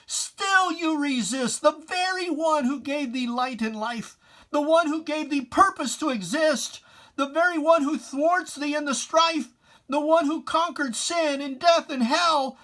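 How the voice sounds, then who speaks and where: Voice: high-pitched, measured pace, a bit bright. A person giving a dramatic reading of religious verse in a solemn, declamatory and emphatic manner with archaic diction. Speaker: poetry reciter.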